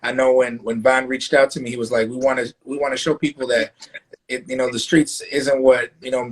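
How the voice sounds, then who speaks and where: Husky-voiced man hosting a live talk. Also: medium pitch, low-quality recording. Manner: loose, filler-heavy, stumbles over his words. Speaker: live talk show host. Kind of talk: casual live-stream interview.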